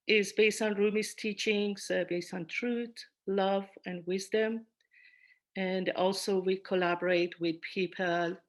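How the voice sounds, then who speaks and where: A woman giving a host's welcome and reading poetry in measured phrases with pauses between them. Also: medium pitch. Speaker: event host, school founder and director.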